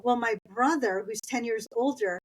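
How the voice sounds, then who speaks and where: A middle-aged woman from East Los Angeles talks through her school years in a relaxed, chatty way, slipping into little voices for her family and laughing as she goes. Spanish was her first language. Her voice sits a bit above the usual pitch for a woman. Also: moderate pace. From East Los Angeles, Southern California. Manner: chatty, anecdotal, laughs while telling stories. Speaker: guest. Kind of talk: conversational interview storytelling.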